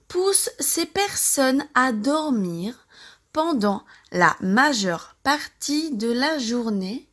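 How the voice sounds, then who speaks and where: A woman from France with a French accent reads a novel aloud for language learners, enunciating carefully and deliberately in short phrases. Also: sits high, fairly bright tone, slightly rough. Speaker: audiobook-style reader for language learners. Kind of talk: slow scripted novel narration for pronunciation practice.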